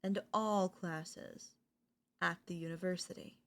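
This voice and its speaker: Slow-talking, obsessive woman professor, acted by a woman. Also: lowish voice, fairly even intonation, fairly bright tone. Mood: coaxing, earnest. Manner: slow, deliberate, coaxing, with dramatic pauses. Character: obsessive older female professor, sweet turning menacing. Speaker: voice actor. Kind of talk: ASMR audio roleplay acting.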